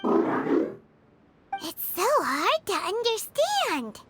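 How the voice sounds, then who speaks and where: A woman voicing a tiny, childlike fairy companion in a video game, squeaky, bubbly, eager and boastful. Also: wildly swooping pitch, drawn-out words, music in the background, full character voice. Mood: puzzled. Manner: speaks of herself in third person, bubbly.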